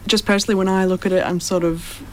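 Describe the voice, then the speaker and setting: A young woman co-hosts a radio interview, asking her questions gently and with some hesitation. A faint hum of noise sits behind her voice. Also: medium pitch, little pitch movement, fast. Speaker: co-host.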